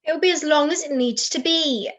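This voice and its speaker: A woman's voice: sits high, normal speed, a bit bright. A young woman acting a prim, indignant puppet who is a stickler for procedure in an amateur puppet show.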